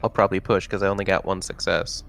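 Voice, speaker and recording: Gravelly, low-voiced man playing a tabletop game. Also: level tone, quick pace, fairly bright tone. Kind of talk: casual chat.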